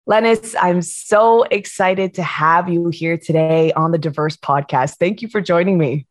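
A woman hosting a podcast interview, asking measured questions in an upbeat, encouraging way. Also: lowish voice. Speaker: podcast host.

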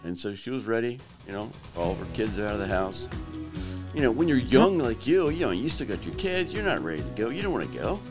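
A man hosting a podcast in casual, joking chat, his voice lowered by allergies. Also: sing-song, fast, music in the background. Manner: joking, teasing. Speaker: podcast host. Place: South Carolina.

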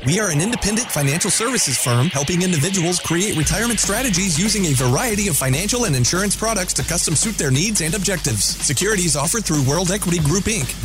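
A male announcer reading a scripted legal disclaimer in a brisk, formal and even way. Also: on the high side. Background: music bed.

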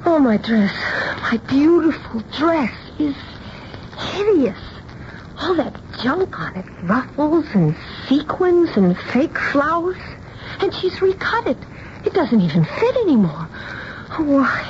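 An actress playing a distraught young bride-to-be in a radio drama, blunt and indignant. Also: female voice, high-pitched, very animated, takes it slowly, heavily acted. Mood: dismayed, indignant. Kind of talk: 1970s radio drama acting. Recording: dull, noisy 1970s radio recording.

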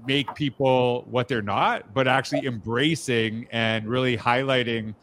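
A man hosting a podcast, speaking in a relaxed, reflective way and often restarting his sentences. His voice sits at a middle pitch. A faint ambient hum runs beneath it. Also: unhurried. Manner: conversational, reflective, many fillers and restarts. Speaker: podcast host. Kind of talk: casual interview chat.

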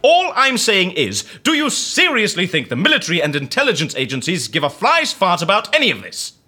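A performer playing a flamboyant, sardonic, eccentric investigator in an audio drama, husky and theatrical, given to teasing and exclamation. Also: medium pitch, wildly swooping pitch, brisk, pushed and bright, full character voice. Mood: mocking.

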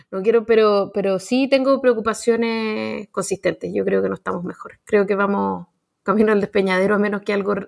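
A Chilean woman in her forties with a Chilean accent, talking casually on a panel, animated and slangy with many fillers and restarts. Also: medium pitch, vocal fry. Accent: Chilean Spanish. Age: 40s. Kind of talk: casual radio panel chat.

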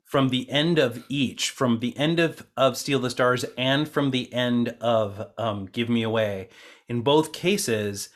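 A man's voice: mid-range voice, slow. A man interviewing colleagues in a casual, conversational way. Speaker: interviewer and co-producer.

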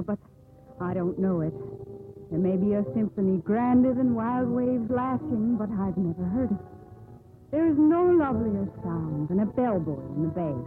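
A woman's voice: on the high side, phone-quality sound, lightly acted. An actress plays a determined young woman of the 1840s who longs for the sea. She speaks with poetic, insistent conviction in old radio drama style. The recording is thin and band-limited, like a vintage broadcast. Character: headstrong young sea captain's daughter of 1845. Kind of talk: 1950s radio drama acting.

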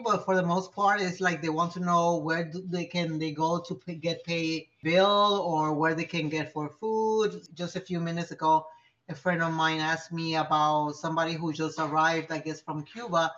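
A woman's voice: fairly low pitch, fairly even intonation, moderate pace, fairly bright tone. A middle-aged woman born in New York and raised in Puerto Rico, a community organizer chatting casually as a podcast guest and laughing often. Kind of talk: casual interview chat. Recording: slightly dull lo-fi audio.

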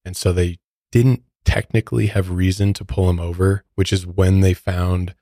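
A man's voice: low-pitched, flat delivery, normal speed, mellow, no echo, clean studio sound. A man with an American accent casually discussing true crime as a podcast co-host.

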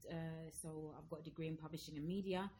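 Woman, low voice, english accent, thirties, lively storyteller, faint noise behind. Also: quick pace. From Zambia. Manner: lively storytelling, acts out quoted speech. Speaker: guest. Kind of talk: casual conversation.

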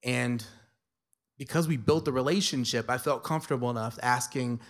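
A middle-aged man from New York tells a personal story in a conversational, emphatic way, tossing in playful asides. His voice sits at an ordinary middle pitch. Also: normal speed. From New York City.

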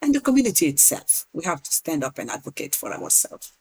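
A woman's voice: sits low, brisk, husky. Earnest woman advocate. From Niger. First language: Hausa and Zarma. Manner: earnest, animated, repeats words. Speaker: guest, patient advocacy leader. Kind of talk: podcast interview.

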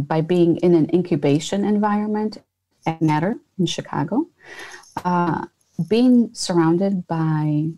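A woman's voice: on the low side, mellow. A woman in her fifties, from Poland, speaking earnestly as a guest entrepreneur in an interview, with many fillers and restarts. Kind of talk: conversational interview.

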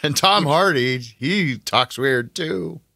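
Animated guy co-host, bright punchy voice, sardonic and jokey, just chatting. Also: fairly high pitch, takes it slowly, creaky, no echo, studio quality. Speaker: podcast co-host. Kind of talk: casual pop culture chat.

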